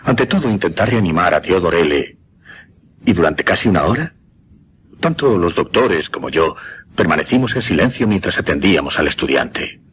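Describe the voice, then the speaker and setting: An actor with an accent from Spain playing a shaken, courteous gentleman who hesitantly recounts a supernatural horror in a radio drama. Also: deep voice, big pitch swings, normal speed, slight background noise. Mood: haunted, solemn.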